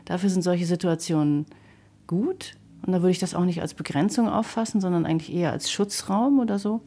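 A woman's voice: lowish voice, a little fast, dark tone. A woman, a composer and radio drama maker, explaining how she works in an interview.

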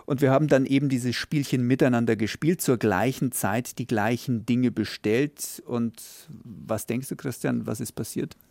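Gravelly man, dry studio voice. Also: medium pitch, moderate pace, hardly any pauses, a little mellow, dry recording. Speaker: co-host. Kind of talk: radio magazine chat.

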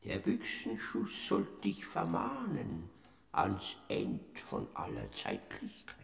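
A creaky, rasping Death in an old radio comedy, drawling slowly in a thick Bavarian accent. His low voice swoops wildly up and down, timid and wheedling. Gravel and fry run through every word. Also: huge pitch swings, heavily acted. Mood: solemn. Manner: hesitant, wheedling. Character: Boandlkramer, timid creaky male Death figure. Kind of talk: classic radio play acting.

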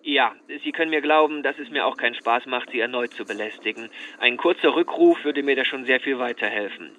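A man's voice: mid-range voice, a little fast, lightly acted. An actor playing a worried, politely insistent businessman speaking on the phone in a radio play. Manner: polite, formal, pressing. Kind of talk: naturalistic radio play acting. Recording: faint background noise.

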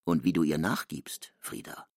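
An actor playing a defensive, embittered lover pleading his case in a literary audio drama, gravelly and husky. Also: rumbling low, fairly even intonation, moderate pace, a little mellow, dry recording, studio quality. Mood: defensive, bitter.

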